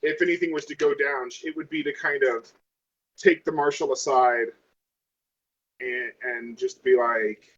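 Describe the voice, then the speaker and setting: A man's voice: mid-range voice, steady tone, measured pace, fairly bright tone. A man casually talking through a tabletop game out of character as a player, thinking aloud in a hesitant way. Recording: dull lo-fi audio.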